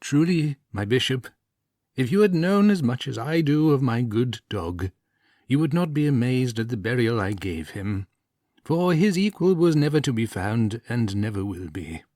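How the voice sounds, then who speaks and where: Man acting a plaintive village priest, pitched up. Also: sing-song, normal speed, darkish tone, no room sound, clean studio sound, close to an everyday voice. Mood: earnest, wheedling. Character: simple village priest, wheedling, anxious and crafty. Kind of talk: storybook character voice.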